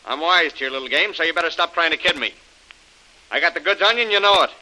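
A man's voice: a little high, fast, pushed and bright, rough recording. An actor playing a menacing male villain in an old radio drama, talking in hard-boiled, clipped threats. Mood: threatening. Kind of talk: 1930s radio acting.

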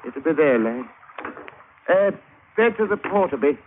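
An actor playing a steady, gruff sailor in charge, speaking salty seaman's dialect in a mid-century radio drama. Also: fairly high pitch, unhurried. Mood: businesslike. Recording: muffled, hissy old radio broadcast.

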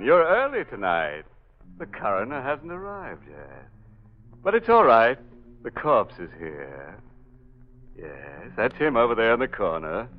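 A man playing a gleefully macabre horror host in 1940s radio style, theatrical and mock-sinister. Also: lowish voice. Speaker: host and narrator. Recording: muffled old radio recording, faint music.

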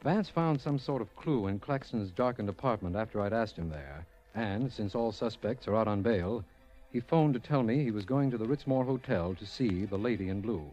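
An actor playing an earnest, businesslike district attorney in a 1940s radio drama, speaking in a formal, declamatory way. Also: male voice, on the low side, animated, moderate pace, darkish tone. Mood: grave, expository. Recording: dull old radio recording, music behind.

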